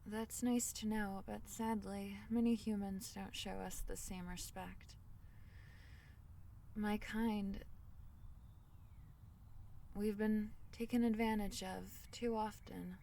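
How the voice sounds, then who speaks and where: A woman voicing a tiny, caring fairy in a soothing ASMR fantasy roleplay, speaking softly in slightly formal storybook phrases. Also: medium pitch, creaky, recorded from a distance, a little hiss or hum. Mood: earnest. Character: tiny gentle female fairy, caring and protective.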